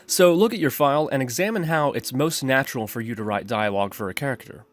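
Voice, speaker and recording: A man's voice: medium pitch, quick pace. Methodical man narrating a tutorial over music. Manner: methodical, step-by-step explaining. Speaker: programming tutorial host. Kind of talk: instructional screencast narration. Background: background music.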